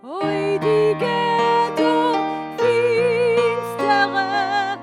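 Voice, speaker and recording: A woman singing a slow, plaintive song to piano accompaniment. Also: extremely high pitch, little pitch movement. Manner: sung slowly and plaintively. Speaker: singer.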